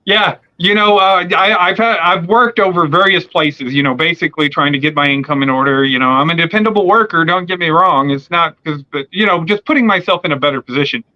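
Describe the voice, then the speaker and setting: A man's voice: a little high, a little fast, few pauses, sharp tone. Rambling man in his forties, lo-fi. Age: 40s. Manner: rambling with many fillers and restarts. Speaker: podcast host. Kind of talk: casual conversation.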